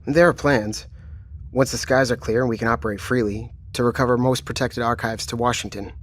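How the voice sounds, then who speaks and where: A man voicing an unflappable military chief in an audio drama, measured and matter-of-fact. His voice sits at an ordinary middle pitch and stays composed and businesslike. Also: steady tone, natural voice. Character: calm, commanding male military chief. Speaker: military commander.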